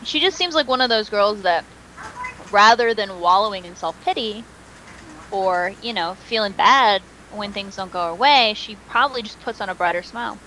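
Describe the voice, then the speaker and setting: A woman's voice: medium pitch, moderate pace, bright, forceful tone, poor audio. A young woman co-hosting a podcast in casual, conversational chat. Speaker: podcast co-host. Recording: clear background noise.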